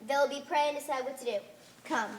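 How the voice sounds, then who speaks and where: Stern, commanding cult high priestess played by a woman, high pitch, formal and solemn stage delivery. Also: fast talker, heavy background noise, close to an everyday voice. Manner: formal, authoritative, solemn declamation. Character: stern, commanding cult leader of blue people, addressed as Holy One. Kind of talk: stage play acting. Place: theatre stage. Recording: live stage recording.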